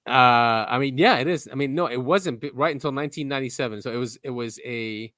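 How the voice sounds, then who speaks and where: A laid-back man co-hosting a podcast, his voice at an ordinary middle pitch. He rambles loosely with plenty of "yeah" and "um", and the recording is dry and close. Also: fast, dry recording. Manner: relaxed, lots of "yeah" and "um", drifting trailing-off sentences. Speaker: podcast co-host. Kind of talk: casual chat.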